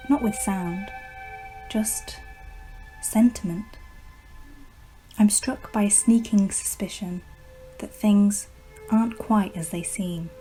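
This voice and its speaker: A woman performs as a sinister, obsessive plant whisperer in a poetic radio-drama monologue. Her delivery is unhurried and hushed, with deliberate pauses and a soft, mellow tone. Faint music sits behind her. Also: medium pitch, natural voice, rough recording.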